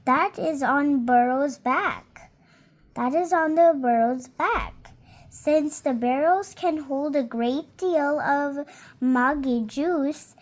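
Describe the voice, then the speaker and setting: A young reader slowly and carefully reading a picture book aloud, now and then stumbling on a word and fixing it. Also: female voice, sits high. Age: child. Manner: slow careful reading with small slips and self-corrections.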